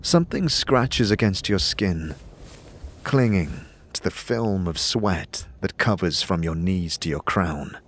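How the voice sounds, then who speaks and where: A man narrating a fantasy audio drama as game master, in a measured, deliberate and dramatic storytelling delivery. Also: low voice, fairly bright tone, a little hiss or hum. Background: faint music.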